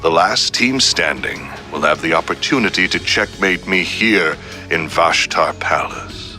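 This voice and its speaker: A man's voice: deep voice, a little slow, faint music behind, heavily acted. A man voicing a suave, coldly polite crime boss delivering a formal, grandiose villain speech in a video game. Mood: grand, sinister. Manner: formal, grandiose, calmly threatening.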